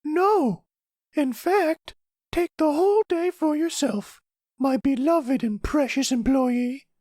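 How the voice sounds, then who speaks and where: A man putting on a falsetto as a pretend boss, mock-sweet and fawning with exaggerated praise, in a roleplay. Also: slow pace, darkish tone, dry recording, studio quality. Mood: mock adoring.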